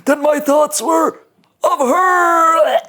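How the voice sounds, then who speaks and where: A man's voice: very high-pitched, drawn-out words, sharp tone, raised voice, heavily acted. Shrieking, melodramatic crewman. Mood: anguished. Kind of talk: animated sci-fi comedy table read.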